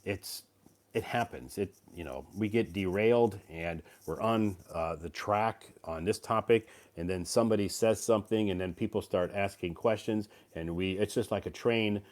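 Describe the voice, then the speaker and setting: A man's voice: fairly low pitch, moderate pace, a little mellow. A man hosting a casual live stream, recovering from a cold and rambling with many fillers and restarts. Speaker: live stream host and English teacher.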